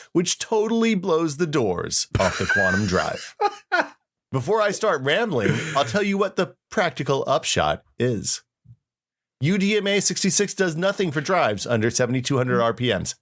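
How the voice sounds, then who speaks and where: A man's voice: medium pitch, fairly bright tone. A man hosting a podcast, chatting casually and telling stories in an informal, wryly exaggerated way. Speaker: podcast host. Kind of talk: casual chat with reminiscing and reading aloud.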